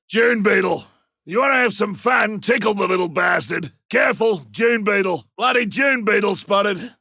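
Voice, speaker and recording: Gravelly Australian monster hunter. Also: male voice, high-pitched, no room sound, clean studio sound, heavily acted. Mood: alert, taunting. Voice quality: gravelly, rough. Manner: colloquial, blunt, forceful delivery. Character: gruff male monster hunter, rugged, cocky, seasoned trapper. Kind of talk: video game combat barks and callouts.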